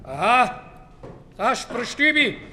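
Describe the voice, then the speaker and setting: A man's voice: extremely high pitch, very animated, slow pace, sharp tone, a little hiss or hum, heavily acted. A man acting an exasperated, pragmatic village mayor with a Swiss German accent in a radio play. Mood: formal. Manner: admonishing, dismissive. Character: exasperated, pragmatic village mayor, farmer's old school friend.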